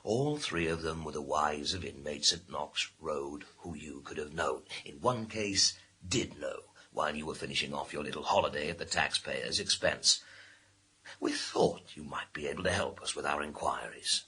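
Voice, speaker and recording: An actor in a radio crime drama playing a sardonic, bullying police inspector with a sarcastic, theatrical manner. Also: male voice, very deep voice, normal speed, pushed and bright, slightly rough, exaggerated voice. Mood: stern, pressing. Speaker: police detective.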